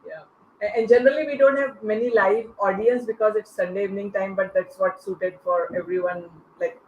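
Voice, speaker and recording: A panel host with a medium-pitched voice moderates off the cuff, pausing and restarting phrases. The online call sounds roomy and dulled, with faint noise behind. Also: lo-fi sound.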